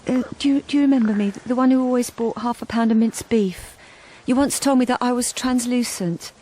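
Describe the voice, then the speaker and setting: An actress playing a lonely, downtrodden wife in a radio drama, with a bleak, understated delivery. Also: female voice, fairly high pitch, fairly quick, dark tone, natural voice. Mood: hesitant, nervous. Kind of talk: first-person radio drama narration.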